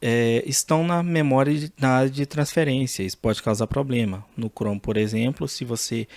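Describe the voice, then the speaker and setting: A man with a Brazilian accent giving an informal, step-by-step technical demonstration in a halting, explanatory way. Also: medium pitch, a little mellow, no echo. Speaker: electronics tutorial presenter.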